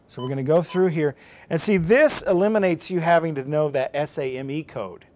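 Higher-voiced middle-aged man, informal TV presenter. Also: brisk, a little mellow. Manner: informal, thinking aloud while handling a device. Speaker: TV weather presenter. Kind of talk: live how-to demonstration. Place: TV studio. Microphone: lapel mic.

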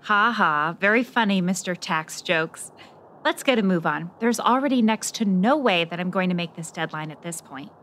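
A woman's voice: fairly low pitch, lively intonation, normal speed, a bit bright. A woman playing an impatient, sarcastic newspaper reporter in an old-time noir radio drama. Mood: exasperated.

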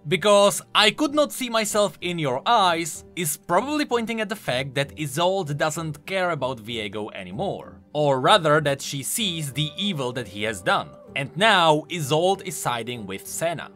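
A man's voice: on the high side, big pitch swings, normal speed, few pauses, bright, forceful tone. A man giving enthusiastic, emphatic explanatory commentary on video game lore. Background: faint music.

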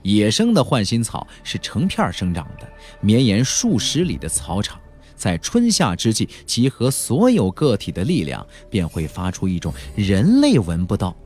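A man narrating a fantasy novel as a dramatic audiobook storyteller, theatrical, with drawn-out emphasis and colloquial touches. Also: fairly low pitch, wildly swooping pitch, a little mellow, slightly rough, soft background music.

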